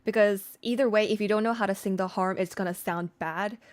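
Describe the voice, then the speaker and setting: A woman with a mid-pitched voice talks casually as she teaches singing technique on a livestream. She is informal and slangy, explaining things step by step and chatting with her viewers along the way. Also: steady tone, fairly quick. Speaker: streamer and singer teaching vocal layering. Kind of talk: casual livestream tutorial with chat replies.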